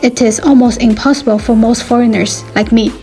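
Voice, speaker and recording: Young chinese woman vlogging, calm and measured, highish mellow voice, soft music under it. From China. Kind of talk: scripted vlog narration.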